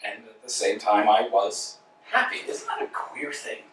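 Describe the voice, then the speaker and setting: A man's voice: lowish voice, moderate pace, fairly bright tone, slight background noise, natural voice. An actor playing an anxious, talkative male tramp in a staged play reading, fussing and lecturing, recorded in an echoey room from a distance. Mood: fretful. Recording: echoey room, mic far off.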